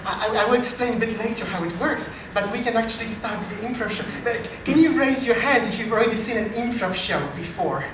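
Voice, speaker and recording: A man with a French accent, an AI researcher hosting a live improv comedy show, talking in a dry, self-deprecating way with halting restarts. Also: high voice, background noise. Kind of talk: live comedy stage talk. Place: live comedy meetup venue. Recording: distant, very echoey live venue.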